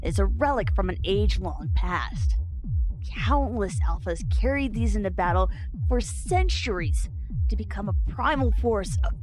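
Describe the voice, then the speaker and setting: A woman playing a stern, proud shifter warrior leader in a fantasy audio drama, speaking gravely and formally. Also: medium pitch, big pitch swings, unhurried, over music.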